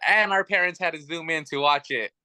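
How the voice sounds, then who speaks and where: A man's voice: on the high side, a little fast, pushed and bright, clear, resonant tone, no room sound. A man in his thirties, a guest telling his family story in an earnest, chatty way with many fillers. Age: 30s. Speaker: podcast guest. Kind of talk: casual chat.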